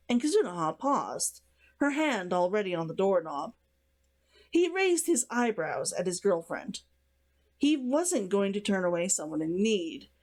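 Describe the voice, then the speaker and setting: A woman reading her own novel aloud as scripted, expressive audiobook narration. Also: mid-range voice.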